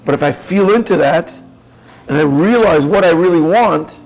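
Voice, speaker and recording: A man's voice: on the high side, moderate pace, darkish tone, rough recording. A man giving a reflective coaching talk, earnest and probing, speaking directly to the listener. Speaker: speaker on personal growth.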